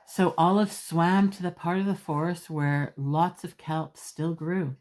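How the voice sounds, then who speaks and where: Female picture-book reader, lower register, leisurely and expressive, speaking simply and emphatically to small children. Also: measured pace. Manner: simple, emphatic phrasing with small asides to young listeners. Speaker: children's storyteller.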